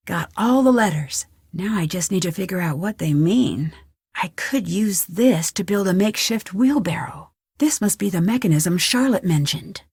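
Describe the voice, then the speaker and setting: A woman's voice: mid-range voice, slightly rough, no echo, clean studio sound. A young woman playing a curious, plucky amateur detective in an adventure video game, thinking aloud to herself. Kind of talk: scripted adventure game voice lines.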